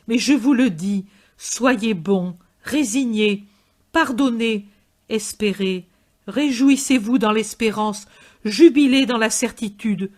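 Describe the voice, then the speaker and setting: A woman voicing a gentle male preacher who speaks slowly and solemnly to a crowd. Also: on the high side.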